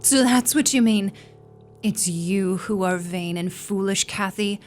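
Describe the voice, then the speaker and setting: A woman's voice: mid-range voice, measured pace, faint music behind, lightly acted. An actress playing a vain, lovestruck young lady, haughty and insistent, in radio melodrama. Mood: defiant, triumphant. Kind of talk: melodramatic old-time radio drama acting.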